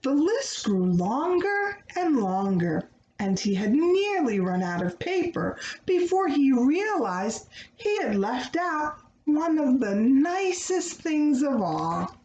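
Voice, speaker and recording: Lady doing a kids read-aloud, slow n teacherly, checks in with listeners, echoey room. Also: high voice, very animated, a little mellow, lo-fi sound. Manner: addresses young listeners with questions and pauses. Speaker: read-aloud storyteller for children. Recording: roomy echo, faint background noise.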